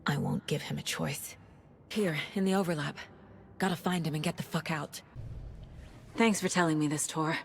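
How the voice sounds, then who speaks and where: A resolute female federal agent in a game drama, a mother protecting her own. Her voice is on the low side, terse and intense, set against clear background noise. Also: steady tone, normal speed, pauses often.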